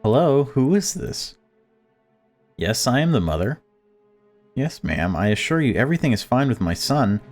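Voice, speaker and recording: A man's voice: medium pitch, very animated, moderate pace, pauses often, a little mellow, faint music behind, pitched above the performer's own voice. A man voicing a fretful mother in a visual novel, prim and chatty, with insistently upbeat small talk. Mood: polite, anxious. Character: fretful, forcedly cheerful mother of a family.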